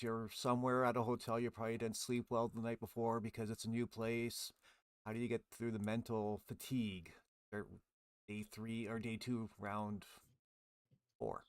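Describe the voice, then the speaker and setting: A man hosting a relaxed, conversational hobby podcast. His voice sits at an ordinary male pitch and is recorded dry, with very little room sound. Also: clean tone, dry recording. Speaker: podcast host. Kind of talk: casual hobby podcast chat.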